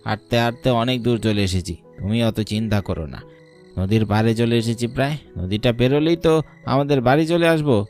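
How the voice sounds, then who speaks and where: A man's voice: medium pitch, quick pace, darkish tone, faint music behind, natural voice. A man acting a carefree young village husband in a cartoon, coaxing and reassuring in a breezy, insistent way. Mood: dismissive reassurance.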